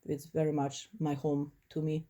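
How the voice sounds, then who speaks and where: A woman's voice: sits low, completely flat delivery, normal speed, warm tone. A woman in her forties from Poland, speaking English with a Polish accent, giving earnest, reflective answers in an interview. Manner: earnest, reflective, slightly non-native phrasing. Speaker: interviewee, first-generation immigrant.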